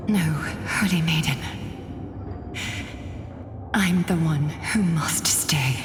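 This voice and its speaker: A calm, self-sacrificing female leader from a game speaks slowly and solemnly, with breathy half-whispers. Her lowish voice rings in a reverberant hall over music. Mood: solemn resolve. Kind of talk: video game voice acting.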